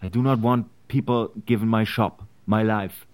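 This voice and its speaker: An actor playing an anxious, reluctant immigrant tradesman with a foreign accent in a historical drama, speaking in halting, careful phrases. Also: on the low side, fairly even intonation, darkish tone, clean tone, natural voice. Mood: earnest, troubled. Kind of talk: period historical drama acting.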